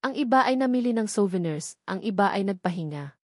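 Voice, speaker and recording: Casting: female storyteller for romance fiction, middle-pitched voice, very little room sound, scripted delivery. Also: lively intonation, fairly quick, no room sound, studio quality. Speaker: story narrator.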